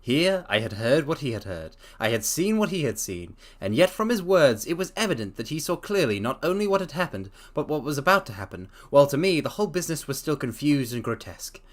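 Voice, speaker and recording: A man streaming a dramatic reading of a story, breaking off to chat excitedly, often stammering and restarting his sentences. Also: fairly high pitch, brisk, few pauses.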